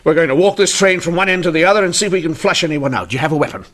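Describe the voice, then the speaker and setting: A brisk, forceful police inspector. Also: male voice, a little high, expressive. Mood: brisk, commanding. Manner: clipped, authoritative, dry. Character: brisk, commanding male police inspector in 1895. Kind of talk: old-time radio drama acting.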